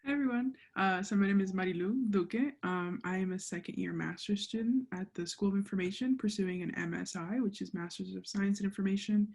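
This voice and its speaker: A young woman from Central Florida gives a casual, hesitant self-introduction on a video call. Her voice is husky and breathy, with a gravelly fry at the ends of phrases, at a middle pitch. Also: a little fast, few pauses, a little mellow. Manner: hesitant, with many fillers. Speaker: master's student panelist.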